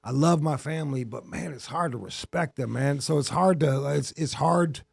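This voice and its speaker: An American man hosting a podcast in casual, blunt, sweary slang, with a gravelly, husky voice. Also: on the high side, normal speed, dry recording, studio quality. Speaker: podcast host. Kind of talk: casual chat.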